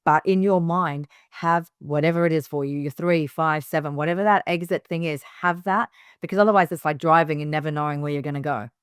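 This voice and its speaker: A woman's voice: low voice, steady tone, a little fast, hardly any pauses, clear, resonant tone, no echo, studio quality. A middle-aged woman from Hong Kong, a business founder giving direct, emphatic advice in a casual interview, with frequent fillers and restarts.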